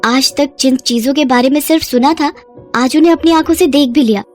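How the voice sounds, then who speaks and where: A young, high-pitched college girl from a poor home, voiced by a woman. She is modest, polite and wide-eyed, in a children's cartoon-story manner with music behind her. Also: a little fast, darkish tone, natural voice. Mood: amazed.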